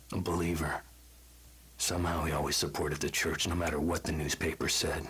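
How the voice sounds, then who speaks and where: An actor playing a cold, tormented, vengeful male scientist in a video game audio log, speaking slowly and deliberately with a hushed, breathy menace. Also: very deep voice, full character voice. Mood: bitter, contemptuous. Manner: slow, deliberate, clinical, brooding menace.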